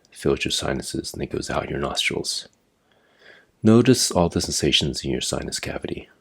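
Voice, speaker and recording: A man with an extremely low-pitched voice leads a guided meditation. He speaks as an instructor, giving measured, step-by-step directions in a plainly instructional way. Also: vocal fry, breathy edge. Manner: measured, imperative step-by-step guidance.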